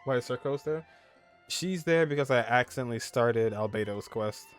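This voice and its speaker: A man's voice: mid-range voice, normal speed. A man casually reacting to a video game as he streams it. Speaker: gaming streamer. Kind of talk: casual reactions over gameplay. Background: game music.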